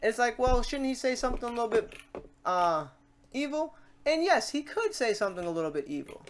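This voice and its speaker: Casual tutorial host thinking out loud. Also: medium pitch, big pitch swings, brisk, slight background noise. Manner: informal, talks self through steps.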